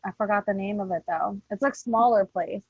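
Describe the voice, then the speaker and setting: A woman's voice: medium pitch, brisk, breathy edge, no room sound, lo-fi sound. A woman chatting casually with slangy phrasing and some easy swearing. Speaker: podcast cast member. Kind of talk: casual chat.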